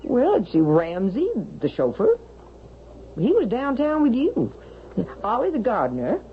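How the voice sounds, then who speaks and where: An actress playing a folksy, middle-aged longtime servant who fusses and protests in a flustered, defensive way, in a 1970s radio drama. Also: female voice, fairly high pitch, huge pitch swings, measured pace. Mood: puzzled, flustered. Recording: dull, hissy vintage radio recording.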